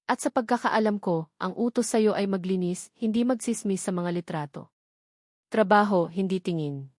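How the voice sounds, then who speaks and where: A woman's voice: medium pitch, normal speed, darkish tone, no echo, natural voice. A woman storyteller plays a haughty executive assistant in a narrated drama. She is stern and commanding, scolding with cold menace. The sound is dry, with no room around it.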